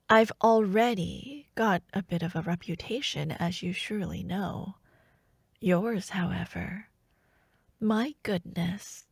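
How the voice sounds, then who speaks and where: A woman performs a young royal princess from a fantasy ASMR roleplay, sly and haughty. She speaks slowly in a hushed, teasing, intimate way, as if scheming at court. The sound is very dry, with no room around the voice. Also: medium pitch, creaky, no room sound, full character voice. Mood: sly, taunting.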